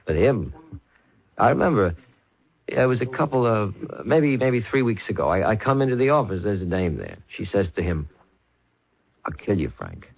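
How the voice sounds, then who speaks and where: An actor playing a streetwise nightclub man who talks in a rambling, halting, slangy way, in a 1970s radio drama. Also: fairly low pitch, big pitch swings, heavily acted. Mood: gossipy. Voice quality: gravelly, raspy. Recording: tinny old radio broadcast.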